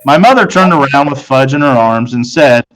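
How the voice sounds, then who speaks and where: A man's voice: medium pitch, lively intonation, moderate pace, crowd noise, rough recording. A man narrating a children's novel aloud in the first person, as a storybook narrator.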